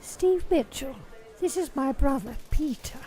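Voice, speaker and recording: A woman acting a charming, mysterious female gambler in an amateur radio play, coy and often breathy. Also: high-pitched, lively intonation, measured pace, dark tone, soft background music.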